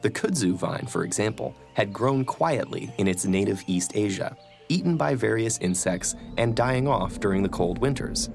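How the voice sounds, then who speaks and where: A man delivers scripted documentary narration in a low, gravelly voice. Music plays softly behind him as he reads. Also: animated, normal speed.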